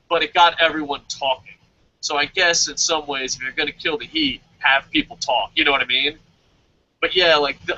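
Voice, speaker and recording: A man hosting a casual wrestling review chat, excitable, stammering at times and bursting into loud animated quotes. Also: a little high. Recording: dull lo-fi audio.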